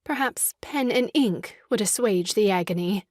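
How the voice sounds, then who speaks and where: A woman's voice: mid-range voice, expressive, normal speed, no room sound, studio quality, natural voice. A woman playing a slyly sweet, wheedling lady in a stage farce, in a mannered, coaxing way. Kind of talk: stage farce audio drama acting.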